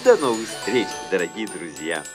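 A man playing a gentle television nature-programme narrator in a cartoon, reading scripted documentary lines. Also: deep voice, big pitch swings, normal speed. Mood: soothing. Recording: music behind a television voice.

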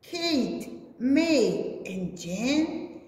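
High, slow sing-song woman storyteller. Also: big pitch swings, darkish tone. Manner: simple, repetitive, exaggerated sing-song emphasis. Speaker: preschool teacher. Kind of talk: read-aloud storytelling for small children. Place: indoor classroom. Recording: echoey room with long reverb.

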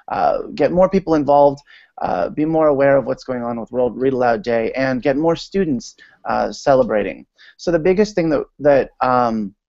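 A man's voice: medium pitch, a little mellow, dry recording. An American man in his thirties giving an informal, chatty and enthusiastic presentation as a guest on an online video call. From United States, Maryland. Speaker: guest speaker, literacy advocate. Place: small room at home, indoors. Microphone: video call with earphones. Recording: dull lo-fi video call audio.

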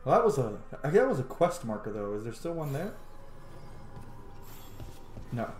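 A man's voice: medium pitch, very animated, normal speed, darkish tone, reverberant, faint music behind. A man in his thirties giving casual playthrough commentary on a video game from a room at home. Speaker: gameplay streamer. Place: small room at home, indoors.

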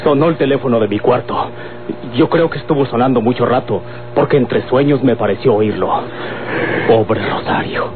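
A man's voice: mid-range voice, close to an everyday voice. A Mexican man playing a sober, respectful younger man reporting to his boss in an old radio drama. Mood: grave, shaken. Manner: measured, respectful. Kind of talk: Mexican golden-age radio serial acting. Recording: noisy, phone-like old radio recording.

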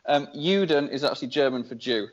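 A man's voice: mid-range voice, brisk. A man guesting on a podcast, telling a story in a dry, wry way. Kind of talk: casual chat. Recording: dull lo-fi sound.

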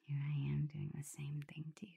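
A woman voicing a shy, affectionate young omega werewolf in an intimate ASMR roleplay, whispering softly and tenderly with gentle teasing. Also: sits low, completely flat delivery, fairly quick, full character voice. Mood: fond, teasing. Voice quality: soft, breathy whisper.

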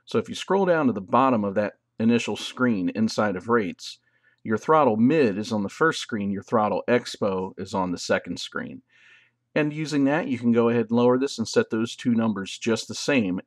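A man's voice: on the low side, no echo, clean studio sound. A man hosting a drone tutorial, explaining settings in a casual, loose way as a voice-over. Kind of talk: instructional voice-over.

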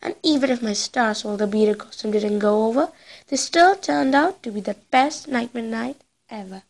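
Woman acting a bookish young cartoon pony princess, high voice, earnest, excitable, emphatic. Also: normal speed. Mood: earnest, reflective.

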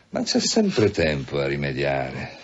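An actor in an Italian radio drama playing a pompous senior police official, mock-polite and deliberate, with veiled menace. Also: male voice, very deep voice, wildly swooping pitch, a little hiss or hum, heavily acted. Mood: sardonic. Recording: dull, muffled radio drama recording.

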